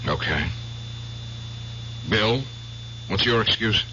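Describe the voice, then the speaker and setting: An actor playing an embittered, anguished American veteran and piano player in a radio drama, with intense, halting, questioning delivery. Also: male voice, lowish voice, lively intonation, pushed and bright, slight background noise, heavily acted. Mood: bitter, demanding. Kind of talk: old-time radio drama acting. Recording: dull, humming old radio broadcast recording.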